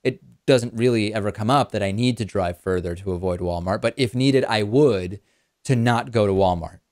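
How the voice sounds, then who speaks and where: A man born in Argentina whose first language is Spanish, hosting a talk show in a relaxed conversational way and answering listener questions. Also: on the low side, normal speed. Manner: conversational, repeats words when thinking. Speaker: host. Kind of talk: casual chat, reading listener questions.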